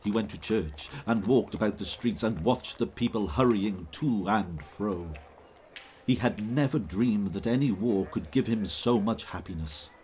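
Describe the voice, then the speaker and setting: A man giving measured, scripted dramatic narration as the storyteller of a Victorian Christmas tale. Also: fairly low pitch, darkish tone, slight background noise, lightly acted. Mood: measured, fond.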